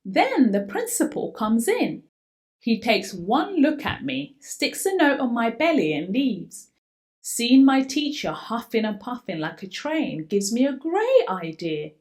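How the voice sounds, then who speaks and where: A woman reads a children's story in a high voice. Her delivery is slow and highly animated, with theatrical emphasis. She stretches out key words as she tells the tale. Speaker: children's book reader. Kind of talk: expressive picture-book read-aloud, voicing a young boy's first-person story.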